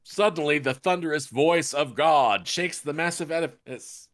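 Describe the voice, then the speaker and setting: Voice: mid-range voice, normal speed. A co-host reading a script's stage directions aloud and breaking off with sarcastic asides. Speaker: table-read narrator and co-host.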